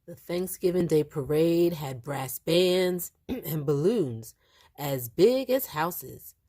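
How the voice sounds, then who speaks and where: A woman reading a picture book aloud to young children in an animated, expressive storytelling style. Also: low-pitched, takes it slowly. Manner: animated, acts out the dialogue.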